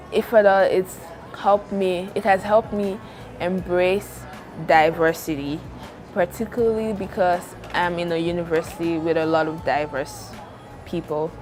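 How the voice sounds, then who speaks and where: A young woman from Nigeria, a university student speaking earnestly and in measured phrases about her own identity. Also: fairly low pitch. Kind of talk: personal testimony to camera.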